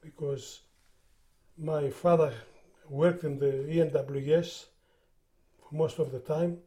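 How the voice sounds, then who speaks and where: Older Greek-born man, accented English, higher pitched with a warm dark tone, halting reminiscence. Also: fairly even intonation. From Greece. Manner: halting, repeats words while recalling. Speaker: interviewee. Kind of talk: oral history interview.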